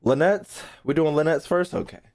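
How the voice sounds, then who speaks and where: A man's voice: on the high side, normal speed, darkish tone. An American man hosting a livestream in casual chat, bantering brashly with viewers, swearing freely and repeating phrases for emphasis. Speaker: streamer hosting a reaction video.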